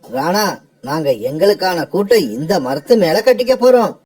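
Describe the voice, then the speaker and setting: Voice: medium pitch, animated, moderate pace, a little mellow, breathy edge. A performer voicing a headstrong, proud crow in a defiant, emphatic way for a children's cartoon fable. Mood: matter-of-fact. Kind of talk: cartoon voice acting.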